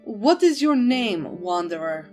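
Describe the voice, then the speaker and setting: A woman's voice: medium pitch, sing-song, slow, warm tone, soft background music. A woman playing a formal, composed female knight captain with a commanding manner in a fantasy audio drama. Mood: composed authority.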